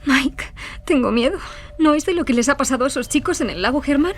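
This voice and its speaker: A woman's voice: sits high, expressive, fast, soft background music. An actress playing a young married woman on a night drive in a dramatized true-crime audio story. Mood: frightened.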